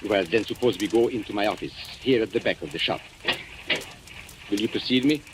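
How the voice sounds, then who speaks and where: A man's voice: fairly low pitch, fairly quick, close to an everyday voice. A man playing a suave, menacing antique dealer and blackmailer in an old radio mystery, polite, smug and theatrical. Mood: coolly menacing. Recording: old radio recording with background hiss.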